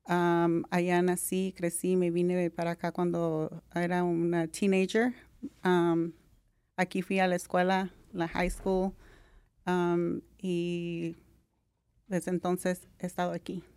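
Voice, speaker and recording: Mexican-accented woman, middle-aged, smooth flat low delivery, relaxed guest with fillers. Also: takes it slowly, no room sound. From Mexico (Jalisco). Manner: relaxed, many "am" fillers, mixes English words. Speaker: guest, registered nurse. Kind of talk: casual interview.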